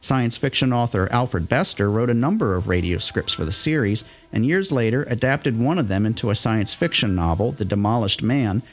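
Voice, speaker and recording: A man reading a scripted history in an even middle register, steady and informative. Faint music plays under his voice. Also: mid-range voice, moderate pace, few pauses. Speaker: podcast host and radio historian. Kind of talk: scripted narration.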